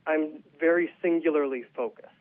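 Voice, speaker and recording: Male interview guest, slightly high-pitched, thoughtful and conversational, heard through a narrow telephone connection. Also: normal speed. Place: phone call to a radio show. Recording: tinny narrow phone line.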